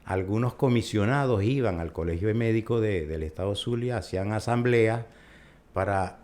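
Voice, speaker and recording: Older Venezuelan urologist, low-pitched, chatty raconteur. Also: male voice, moderate pace, darkish tone. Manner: chatty storyteller, recounts at length. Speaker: urologist guest. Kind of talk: conversational interview.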